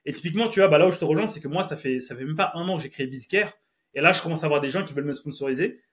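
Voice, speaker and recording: A man's voice: a little high, racing. A man in his thirties hosting a podcast, chatty and slangy, often laughing. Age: 30s. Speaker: podcast host. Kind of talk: casual podcast chat.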